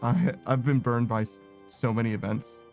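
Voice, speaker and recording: A man's voice: mid-range voice, fairly even intonation, moderate pace, a little mellow. A young man streaming a game, rambling casually with many restarts and fillers. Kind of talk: casual chat while gaming. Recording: faint music behind.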